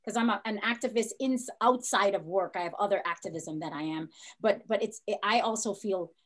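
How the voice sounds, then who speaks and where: Affirming middle-aged woman moderator, mid-pitched. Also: brisk, fairly bright tone. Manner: affirming, encouraging. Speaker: panel moderator, activist. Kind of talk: moderating a panel discussion. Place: plain indoor room. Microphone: computer on a video call.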